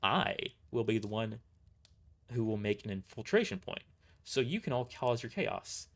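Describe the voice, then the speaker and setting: A man's voice: fairly low pitch, very animated, normal speed, gravelly, a bit bright, exaggerated voice. Man playing a cocky, taunting young woman mercenary. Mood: businesslike. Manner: taunting, smug, blunt.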